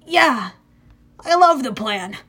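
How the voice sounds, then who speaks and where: A woman's voice: high-pitched, lively intonation, slow, bright, forceful tone, slightly rough, full character voice. A woman voicing a nervous, hesitant teenage boy sidekick who is eager to please, as in an audiobook. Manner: hesitant, anxious.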